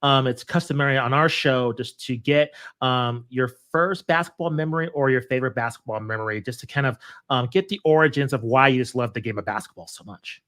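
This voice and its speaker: A man hosts a casual interview, setting up his questions in an easy conversational way. His voice is bright and crisp-edged, at a middling pitch. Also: brisk, few pauses. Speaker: podcast host, interviewer.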